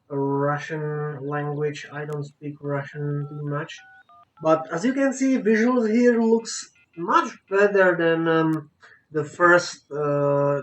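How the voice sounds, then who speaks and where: A woman who is not a native English speaker, giving an unscripted game review in a halting, hesitant way with broken grammar. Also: deep voice. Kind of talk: unscripted review commentary over gameplay. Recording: roomy echo, distant microphone. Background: acoustic guitar music.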